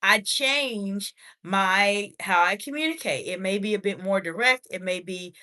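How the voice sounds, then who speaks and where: A woman's voice: mid-range voice, a little slow, pushed and bright. A woman executive communication coach from the United States, talking on a livestream in a formal, encouraging way and greeting viewers by name as she reads their comments. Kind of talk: livestream talk, reading chat comments.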